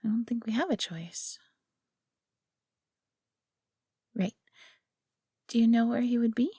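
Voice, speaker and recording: Woman as a husky, high-voiced friendly fox girl. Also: normal speed, dry recording, studio quality. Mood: thoughtful. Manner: chatty, upbeat, expressive. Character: friendly, eager young female fox companion. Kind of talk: audio roleplay acting addressed to the listener.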